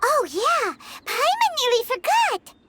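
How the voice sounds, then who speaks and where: Game sidekick: tiny chirpy fairy, shrill, lively pitch swings, chattering. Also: female voice, takes it slowly, heavy background noise, exaggerated voice. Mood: chatty. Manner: excitable, pushy and chattering. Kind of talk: video game voice acting.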